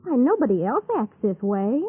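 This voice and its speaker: A woman's voice: a little high, very animated, normal speed, husky, phone-quality sound, exaggerated voice. An actress playing a chatty, stubborn farm wife in a radio drama, breezy and dismissive as she scolds. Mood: chiding.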